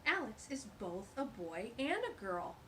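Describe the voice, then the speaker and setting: A woman's voice: a little high, sing-song, a little slow, bright, forceful tone, slight background noise. Bubbly, sing-song storytime woman. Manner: gushing, exclamatory asides. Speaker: children's storytime host. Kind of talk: reading a picture book aloud to children.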